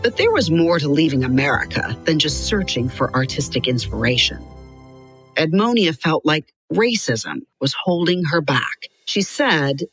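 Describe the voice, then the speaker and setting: A woman narrating a scripted children's biography in an expressive, clearly articulated storytelling style. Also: deep voice, measured pace, faint music behind.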